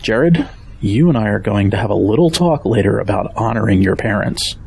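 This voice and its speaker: Firm family patriarch, middle-aged, low-creaking voice, upright and fatherly, audio drama with audible background noise. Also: lively intonation, moderate pace, darkish tone. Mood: stern.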